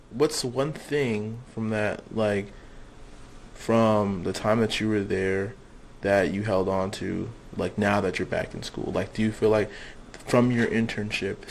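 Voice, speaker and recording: Low-voiced young man asking questions. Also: a little hiss or hum, rough recording. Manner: rambling questions, many fillers. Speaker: interviewer. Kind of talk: casual interview.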